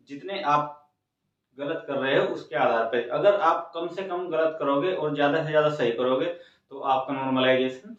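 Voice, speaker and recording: A man giving an informal, instructive explainer to students, repeating phrases for emphasis. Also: on the high side. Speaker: exam coaching host. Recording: very echoey room, distant mic, dull sound.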